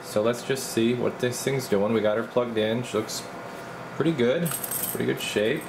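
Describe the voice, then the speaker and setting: A man's voice: medium pitch, normal speed, gravelly, background noise. A man working as a radio repair technician, casually thinking aloud through a hands-on bench demonstration in informal, muttered steps. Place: indoor electronics repair workbench.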